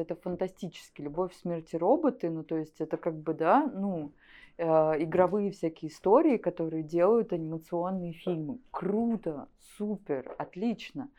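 Woman with a relaxed, mellow voice. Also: fairly low pitch. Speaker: animation studio guest, director. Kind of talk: conversational interview.

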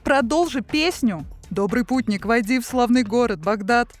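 A woman with a fairly high voice hosts a quiz show. She reads out questions and addresses the players, with faint music behind her. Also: lively intonation, normal speed. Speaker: quiz host. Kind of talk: game show hosting, half scripted.

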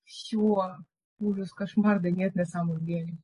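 A young woman co-hosting a podcast, telling stories in a chatty, relaxed way with lots of filler words. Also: fairly low pitch, a little slow, a little hiss or hum. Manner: chatty storyteller, many fillers. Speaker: podcast co-host. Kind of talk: casual chat. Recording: dull, muffled recording.

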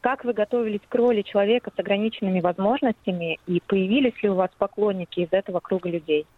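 A woman's voice: mid-range voice, steady tone, fast, no room sound. Polite female caller on a tinny phone line. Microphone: telephone.

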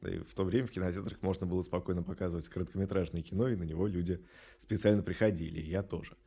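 Low, mellow middle-aged man, reflective. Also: steady tone, slightly rough. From Russia. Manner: reflective, hesitant, measured phrasing. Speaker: animation studio director, interview guest. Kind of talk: thoughtful interview talk.

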